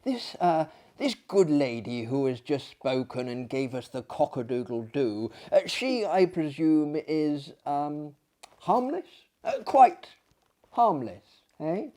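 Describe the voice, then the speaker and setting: A man voicing a polite, nervously curious gentleman visitor in a storybook tale, halting and tentative in his speech. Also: fairly high pitch, very animated. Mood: hesitant.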